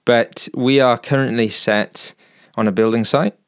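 A man's voice: medium pitch, steady tone, unhurried, clear, resonant tone. A man interviewing a couple, friendly and asking short questions. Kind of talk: conversational interview.

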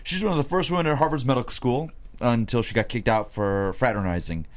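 A man acting as a flirtatious, self-assured wedding guest with a mid-pitched voice. He talks fast and teases drily in a scripted drama read aloud. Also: natural voice. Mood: teasing.

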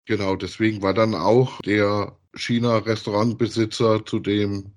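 A man with a fairly low voice talks as a podcast co-host. He reviews and retells a story in loose, everyday language, keeping it easygoing and conversational. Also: flat delivery, measured pace. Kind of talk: casual review chat about an audio drama.

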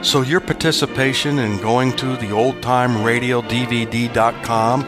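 A man's voice: mid-range voice, steady tone, normal speed. A man giving an informal promotional appeal as a podcast producer. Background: music.

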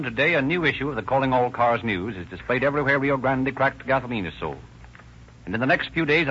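Old-time radio announcer, man, husky voice, persuasive sales read, muffled recording. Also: medium pitch, fairly bright tone. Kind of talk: 1930s radio sponsor announcement.

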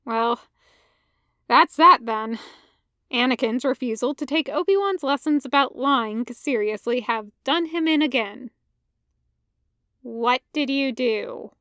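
A woman narrates fiction with expression. Her voice sits high, and the recording is dry, with hardly any room sound around it. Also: expressive, a little slow, sharp tone, no echo. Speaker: podfic narrator.

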